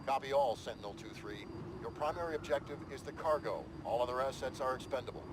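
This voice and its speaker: An actor playing a calm, authoritative military commander giving mission orders in a video game. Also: male voice, mid-range voice, quick pace, noisy background, natural voice. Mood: firm. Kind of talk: scripted game radio dialogue and mission briefing. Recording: voice under very loud music.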